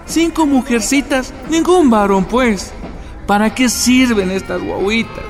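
A woman's voice: fairly high pitch, unhurried, soft background music. An actress playing a pitying, fatalistic neighbour who belittles girls in a radio soap opera. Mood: mournful, scornful.

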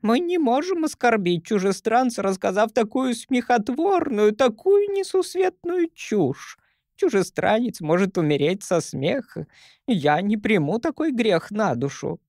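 A man voicing an ancient, tiny, merry female creature who is the first grandmother, in a teasing, giggly, drawn-out manner. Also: high voice, sing-song, darkish tone, no room sound, studio quality, pitched above the performer's own voice, exaggerated voice. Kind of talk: audiobook character voice.

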